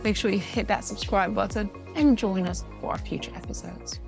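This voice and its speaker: A woman's voice: mid-range voice, normal speed. A woman co-host delivers a scripted podcast welcome in an upbeat, promotional tone. Faint music plays beneath her voice. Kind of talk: scripted show intro.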